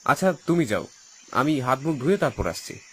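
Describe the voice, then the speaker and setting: A man's voice: mid-range voice, big pitch swings, normal speed, a bit bright, a little hiss or hum. A man voicing an uneasy young city boy visiting a village, in a cartoon. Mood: polite. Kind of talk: cartoon voice acting.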